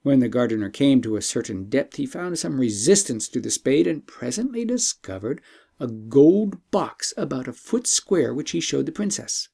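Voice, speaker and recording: Guy reading a fairy tale audiobook, mellow and gravelly, careful phrasing. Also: mid-range voice, normal speed. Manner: expressive, formal, carefully phrased. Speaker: audiobook narrator. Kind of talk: scripted storytelling narration.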